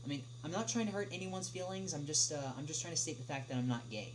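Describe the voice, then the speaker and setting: A young man answering questions in a casual livestream chat, speaking haltingly with many drawn-out filler pauses. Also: a little high, expressive. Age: young adult. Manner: halting, hesitant delivery with frequent long filled pauses. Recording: clearly noisy, humming background.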